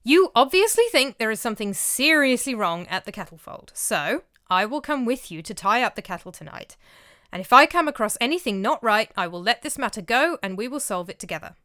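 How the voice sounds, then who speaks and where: A woman's voice: fairly high pitch, big pitch swings, a little fast, bright, forceful tone, smooth, no room sound, studio quality. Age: adult. Figure: a woman voicing a stern Norse chieftain. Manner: commanding, clipped.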